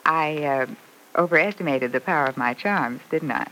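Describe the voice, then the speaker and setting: A woman's voice: low voice, normal speed, fairly bright tone. An actress playing a wry, self-reproaching young woman in an old-time radio serial, arch and wounded. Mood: wry, probing. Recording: noisy old radio broadcast recording.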